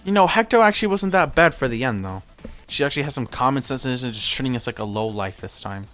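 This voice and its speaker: A man's voice: mid-range voice, lively intonation, fast talker, a bit bright, soft background music. Young guy streaming a game at home, voice kinda tired, rambly and chatty, bouncy intonation. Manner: chatty, rambling, self-correcting. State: voice worn out at end of stream. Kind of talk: casual game commentary. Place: home room.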